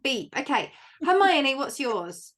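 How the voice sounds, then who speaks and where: A woman with a fairly high, animated voice hosts a chatty podcast. She runs a quiz in a playful, self-deprecating way, with easy laughs in her tone. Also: slow pace. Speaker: podcast host. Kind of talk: casual chat, running a quiz.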